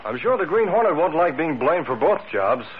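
An actor playing a composed, inquisitive newspaper publisher in an old radio drama. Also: male voice, fairly high pitch, sing-song, fairly quick. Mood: composed, curious. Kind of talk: 1940s radio acting. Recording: tinny vintage radio recording, faint noise.

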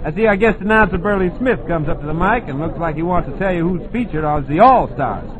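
A man's voice: fairly high pitch, expressive, fairly quick, crowd noise. An American man hosting a 1940s radio jazz show as a jive-talking, rhyming showman. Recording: muffled old radio recording, music behind.

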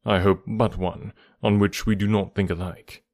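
A man voicing a composed, grave gentleman who speaks in a measured, formal way in an audiobook. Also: fairly low pitch, steady tone, no room sound, studio quality, natural voice. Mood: probing. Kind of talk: audiobook character dialogue.

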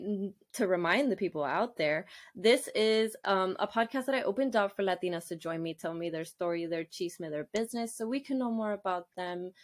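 A woman hosting a casual podcast, upbeat and friendly, slipping Spanish phrases into her talk. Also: medium pitch. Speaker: podcast host.

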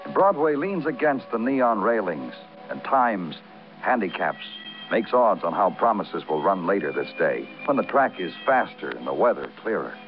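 An actor playing a weary, poetic Broadway police detective in a 1950s radio crime drama. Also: male voice, low voice, very animated, normal speed, faint music behind. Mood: wry, lyrical. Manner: terse in dialogue, lyrical in narration. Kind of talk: 1950s radio noir narration and dialogue. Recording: muffled vintage radio recording.

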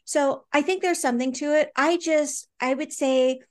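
A woman co-hosting a casual faith podcast, her voice sitting a little high. She talks earnestly and likes to tell stories, circling back with fillers and restarts as she goes. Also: steady tone, moderate pace. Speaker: podcast co-host.

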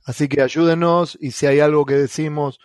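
A man's voice: on the high side, fairly even intonation, moderate pace, smooth, no echo, studio quality. Argentine man, hesitant podcast co-host. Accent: Argentine Spanish. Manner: hesitant, many fillers and restarts. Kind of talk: casual podcast chat.

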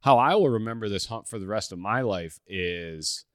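A man hosting a hunting podcast, chatting in a relaxed way with lots of fillers and restarts. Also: lowish voice, normal speed, dry recording, studio quality. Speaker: podcast host. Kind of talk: casual podcast conversation.

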